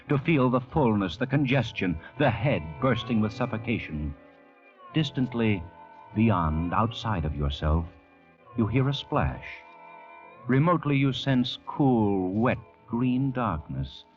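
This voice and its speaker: An actor playing a grave, intense narrator in an old-time radio drama, telling the story deliberately and dramatically. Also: male voice, fairly low pitch. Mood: suspenseful. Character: grave, intense male narrator of a fatal ordeal. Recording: muffled old radio recording, music behind.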